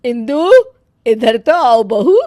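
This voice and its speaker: A woman's voice: fairly high pitch, lively intonation, slow, no echo, clean studio sound. A woman voicing a stern, bossy middle-aged Indian mother-in-law in a cartoon family story. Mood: affectionate. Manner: sharp, bossy, scolding. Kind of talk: Hindi moral-story cartoon acting.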